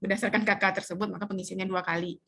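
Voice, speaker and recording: A woman explaining step by step how to fill in a community household form, pausing often to search for words. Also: mid-range voice, level tone, vocal fry, sharp tone, husky. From Indonesia. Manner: hesitant, searches for words with many fillers. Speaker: community trainer.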